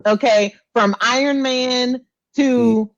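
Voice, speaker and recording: A woman's voice: fairly high pitch, steady tone, slow, no echo, dull audio. A woman co-hosting a podcast in casual, slangy banter, teasing and emphatic, repeating herself for effect. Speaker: podcast co-host.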